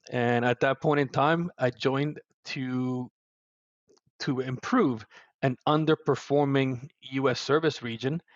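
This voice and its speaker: A middle-aged man with a Puerto Rican accent talks as a podcast guest, earnest and reflective, often restarting his sentences. His voice sits at an ordinary pitch. The audio is dry and slightly muffled. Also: no room sound. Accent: Puerto Rican Spanish. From Puerto Rico. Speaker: guest, service executive. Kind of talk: podcast interview.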